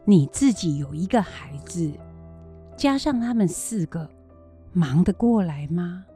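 Radio drama grandmother, acted by a woman: elderly, worried, gently scolding, lowish husky voice, music underneath. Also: expressive, a little slow, heavily acted. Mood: worried, firm. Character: weary, worried old grandmother raising four orphaned grandchildren.

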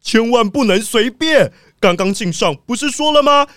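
A man playing an earnest, excitable police squad captain in a children's detective audio drama, animated and anxious. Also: very high voice, normal speed, no echo, studio quality.

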